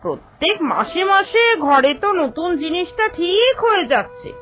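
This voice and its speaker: Woman acting a high-pitched scolding old landlady. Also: very animated, moderate pace, fairly bright tone, soft background music, exaggerated voice. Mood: sarcastic scolding. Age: older. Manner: sarcastic, hectoring. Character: scolding old landlady threatening her late-paying tenants. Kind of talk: dramatic cartoon voice acting.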